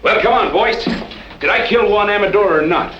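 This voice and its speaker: Casting: a man as a sly, taunting outlaw, higher-set animated voice, old radio western, muffled recording. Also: moderate pace, noisy background, lightly acted. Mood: smug. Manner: mocking, drawling feigned innocence. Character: sly, taunting cow thief gunman in the Old West.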